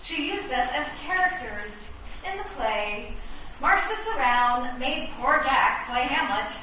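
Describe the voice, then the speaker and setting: Woman as a bright, high-voiced, sassy chess castle. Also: expressive, takes it slowly, far from the mic, heavily acted. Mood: wry. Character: curious, critical, sassy female chess castle piece. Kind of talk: comic radio play acting. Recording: echoey, faintly noisy stage recording from afar.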